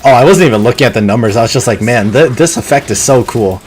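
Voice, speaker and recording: A man streaming a video game live, chatting casually and muttering to himself between bursts of excitement. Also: medium pitch, quick pace. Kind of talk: live gameplay commentary.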